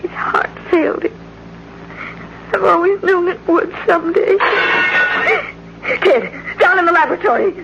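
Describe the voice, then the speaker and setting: A woman's voice: very high voice, unhurried, creaky, pauses often, heavily acted. A woman acting an anxious, devoted young daughter in an old-time radio drama, speaking with earnest, fearful urgency. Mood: stricken. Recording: muffled, noisy old radio broadcast recording.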